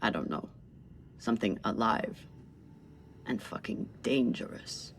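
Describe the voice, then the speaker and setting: A woman's voice: extremely low pitch, lively intonation, a little slow, slightly rough, far from the mic, heavily acted. An actress playing a tough female ship captain in a video game, speaking in a terse, blunt way. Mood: grim.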